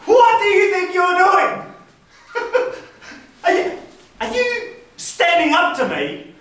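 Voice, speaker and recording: A man acting in a stage play as a cruel, volatile brother who taunts, mocks and erupts into shouting. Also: very high voice, very animated, slow, exaggerated voice. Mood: menacing, sneering. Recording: very echoey hall, distant mic, faint noise.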